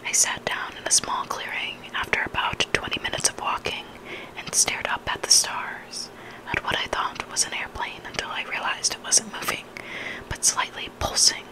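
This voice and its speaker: An ASMR creator whispering scary stories in a soft, unhurried narration, phrased for dramatic storytelling. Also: poor audio. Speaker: ASMR creator reading listener horror stories.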